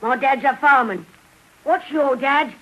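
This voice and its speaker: A woman's voice: high-pitched, measured pace, a bit bright, muffled recording. A woman playing a nosy young London warehouse boy with a Cockney accent, cheeky and inquisitive, in a 1950s radio drama. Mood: curious, cheeky. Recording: old 1950s radio broadcast.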